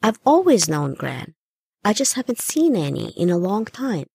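Woman playing a young granddaughter in a story, careful n soothing, bit of fry in the voice. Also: mid-range voice, big pitch swings, moderate pace, dark tone, no room sound, studio quality, pitched above the performer's own voice, full character voice. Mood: reassuring. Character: young girl Jenny, careful, reassuring granddaughter.

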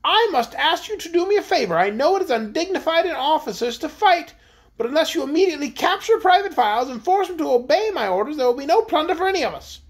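A woman voicing a haughty, quick-tempered storybook queen who speaks in a scornful, commanding way. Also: high voice, sing-song, voice pushed up. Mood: imperious. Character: haughty, imperious, quick-tempered young queen leading an army. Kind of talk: storybook character voice.

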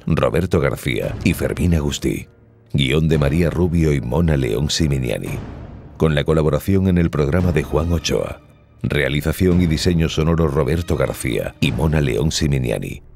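A man's voice: very deep voice, gravelly, darkish tone. A man reading closing credits as scripted narration, in an announcer's role. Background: faint music.

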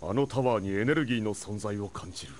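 An actor playing a stoic, noble giant robot warrior from space in an animated game cutscene, speaking gravely and deliberately. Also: male voice, fairly low pitch, heavy background noise, close to an everyday voice.

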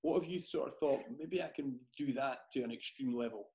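A man's voice: mid-range voice, fairly quick, a little mellow. A man hosting an interview over a video call, asking questions with stumbling restarts. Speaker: podcast host. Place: small home studio room. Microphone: headphones and studio mic on a stand.

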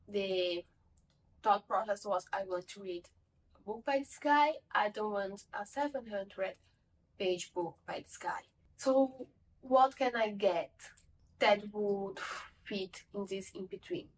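A woman's voice: medium pitch, distant mic, rough recording. A young woman whose first language is Portuguese, casually reviewing a book at home in a rambling, self-correcting way, from a camera set a little away. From Portuguese-speaking country. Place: home room with bookshelves.

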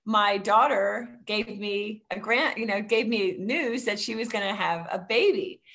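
A woman's voice: mid-range voice, unhurried, vocal fry, fairly bright tone. Chatty woman with a faint Philly accent. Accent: Philadelphia. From Philadelphia area. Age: 50s. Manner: chatty storyteller with frequent ums. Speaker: guest, real estate coach. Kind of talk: casual video-call interview. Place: home room, indoors. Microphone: video call.

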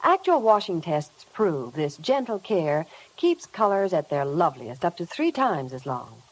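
Vintage radio ad lady, lowish voice, very lively delivery. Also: measured pace, slightly rough, low-quality recording. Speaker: commercial spokeswoman. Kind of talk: scripted 1950s radio advertisement. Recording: old 1950 radio broadcast.

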